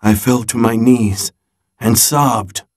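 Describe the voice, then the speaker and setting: A man voicing a storybook grandfather who recounts his seafaring adventure in a dramatic, measured way with suspenseful pauses. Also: medium pitch, fairly even intonation, a little mellow, voice pushed down.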